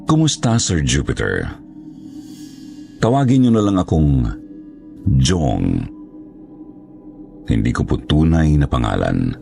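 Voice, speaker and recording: A man's voice: a bass voice, very animated, slow, vocal fry, pauses often, dark tone, soft background music, full character voice. A man voicing a regretful older ex-criminal recounting his past in scripted first-person horror storytelling, earnest and confessional. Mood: earnest, guarded.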